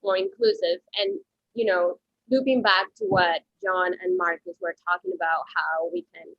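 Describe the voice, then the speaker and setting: A woman from Venezuela, a painter and art educator, answering interview questions at home in a halting way, with many restarts. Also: lowish voice, steady tone, no room sound, highs cut off.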